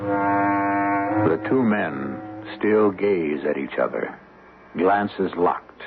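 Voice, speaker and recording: A man hosting and narrating a radio drama in a dramatic, deliberate, ominous way. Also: lowish voice, lively intonation, music in the background. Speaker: host and narrator. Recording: muffled, phone-like old radio recording.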